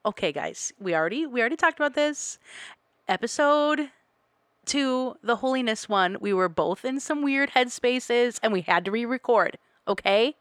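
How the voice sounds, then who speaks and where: Bright bubbly young woman co-host. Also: fairly high pitch, lively intonation, normal speed, smooth, dry recording, clean studio sound. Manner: bubbly, laughing, steering the talk. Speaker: podcast co-host. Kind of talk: casual chat.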